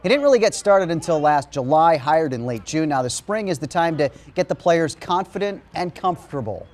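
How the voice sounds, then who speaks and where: A man with an American accent delivering a punchy, emphatic TV sportscast over highlights. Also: animated, a little fast, a little mellow. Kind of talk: TV sportscast commentary, scripted narration over highlights.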